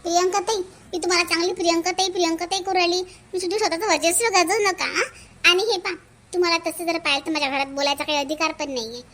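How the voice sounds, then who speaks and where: A woman voicing an argumentative, sharp-tongued young married woman in a cartoon family drama. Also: very high-pitched, steady tone, fast talker. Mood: indignant. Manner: argumentative, scolding.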